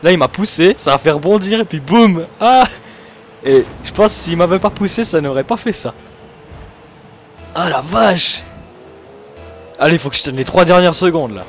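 A young man from Switzerland, commentating his own gameplay excitedly and laughing often. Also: a little high, normal speed, long pauses, soft background music. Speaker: gaming YouTuber.

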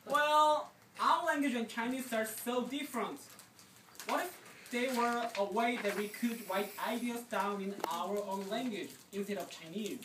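A woman's voice: a little high, unhurried, lightly acted. A woman playing a kind, thoughtful king who teaches eagerly in an amateur puppet show. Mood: earnest, concerned. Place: classroom, performers behind a draped table. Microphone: distant camera. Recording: noisy, echoey classroom from far off.

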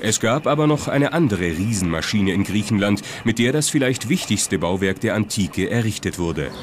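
Low-voiced man narrating over prominent music. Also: animated, normal speed. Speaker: documentary narrator. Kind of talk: scripted documentary narration. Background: prominent background music.

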